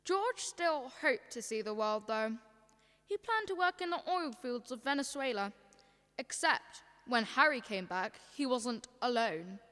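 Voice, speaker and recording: A young woman acting a calm senior angel who explains a story in a radio drama. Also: on the high side, unhurried. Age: young adult. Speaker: student actor. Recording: long hall-like reverb.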